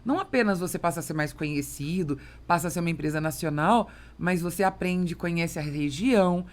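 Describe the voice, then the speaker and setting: A woman's voice: lowish voice, a little fast. A Brazilian woman hosting a business podcast, explaining things conversationally and with emphasis. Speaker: podcast host.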